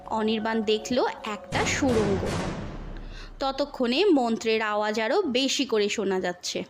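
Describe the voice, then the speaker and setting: A woman narrating a scripted horror story in a dramatic storytelling style. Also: fairly high pitch. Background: music.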